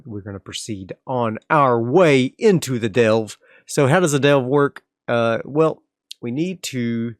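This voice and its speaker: A man's voice: medium pitch, dry recording, studio quality. A man playing a solo tabletop game, casually narrating and thinking aloud as he sets up his next area. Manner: relaxed, rambling, frequent restarts.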